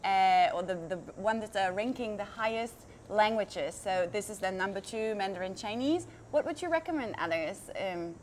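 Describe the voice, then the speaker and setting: A woman's voice: medium pitch, lively intonation, moderate pace, few pauses, a little hiss or hum. A woman interviewing guests, asking curious questions and rephrasing them as she goes.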